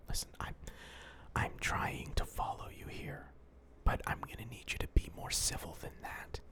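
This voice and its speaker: A podcast co-host improvising comedy banter in a hushed, playfully dramatic way. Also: normal speed, whispered.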